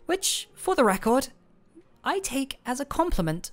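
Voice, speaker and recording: A young woman playing a shy, smitten former coworker in an intimate romantic audio roleplay, speaking softly and haltingly. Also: high voice, sing-song. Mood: fond reminiscing. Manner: shy, halting, soft-spoken.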